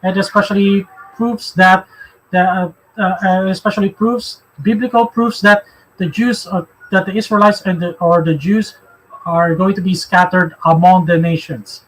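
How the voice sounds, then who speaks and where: A man from the Philippines with a Filipino accent, hosting a religious discussion in a halting way, often repeating phrases. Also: sits high, fairly even intonation, a bit bright, rough recording. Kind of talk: live religious discussion, answering questions.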